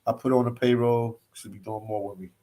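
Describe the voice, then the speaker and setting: A relaxed man with a Philadelphia accent talks casually to his livestream viewers from a hostel library. He reads out their comments and answers them, often repeating phrases and tacking "man" onto the end of his sentences. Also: mid-range voice, fast talker. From Philadelphia, US East Coast. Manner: laid-back, says "man" a lot, repeats phrases. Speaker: travel vlogger reading live chat.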